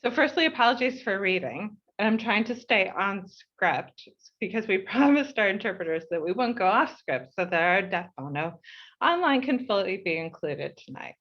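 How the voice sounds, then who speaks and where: A woman's voice: mid-range voice, lively intonation, lo-fi sound. Heartfelt New woman reading a speech. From United States. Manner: measured and heartfelt, slowing for emphasis. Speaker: board chair. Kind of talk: reading a prepared speech at an online meeting.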